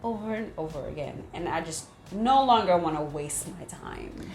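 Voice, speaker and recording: A woman with a lowish voice talks in a casual girls' chat and agrees emphatically. The room echoes, and loud music plays behind her. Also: animated, normal speed. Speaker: guest.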